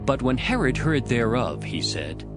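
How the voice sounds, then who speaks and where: Expressive male scripture narrator over music. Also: mid-range voice. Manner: measured, expressive storytelling. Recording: music behind the voice. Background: prominent background music.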